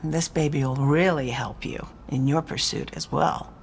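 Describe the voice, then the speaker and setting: Seductive crime fixer, middle-aged, deep for a woman, breathy purr, teasing, game cutscene. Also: steady tone, normal speed, slight background noise. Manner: purring, teasing. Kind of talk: cinematic video game acting.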